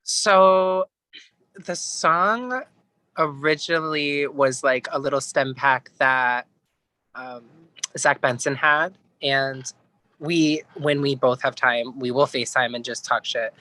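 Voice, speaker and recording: Bright, dry-sounding man chatting casually. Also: medium pitch, slow pace, dry recording, low-quality recording. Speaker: guest musician. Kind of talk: casual chat, telling an anecdote.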